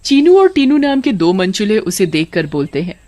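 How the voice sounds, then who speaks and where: A woman's voice: mid-range voice, sing-song, moderate pace, darkish tone. A woman storyteller reading scripted narration for a village tale.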